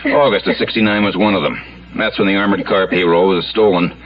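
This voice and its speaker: Man acting gruff, deep-voiced police lieutenant. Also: steady tone, a little fast, natural voice. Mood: pressing. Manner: clipped, insistent questioning. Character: blunt, dogged male police lieutenant. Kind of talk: old-time radio crime drama acting. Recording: muffled, noisy old radio drama recording.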